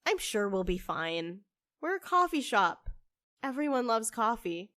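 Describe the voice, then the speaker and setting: A woman's voice: a little high, big pitch swings, a little slow, fairly bright tone, no echo, studio quality. A woman playing an earnest, hopeful young witch who runs a cafe, in a conversational audio drama style. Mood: upbeat.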